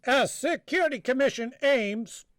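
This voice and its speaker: A man's voice: extremely high pitch, normal speed, fairly bright tone, no echo, clean studio sound. A man playing a flustered, reluctant government undersecretary in a radio drama. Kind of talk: live radio drama acting.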